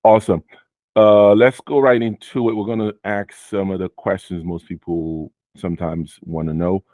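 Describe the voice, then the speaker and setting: A man hosting a casual interview with one of his students, relaxed and full of fillers, often repeating the guest's answers back. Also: sits low, moderate pace, no room sound, phone-quality sound. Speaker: host and Amazon seller mentor.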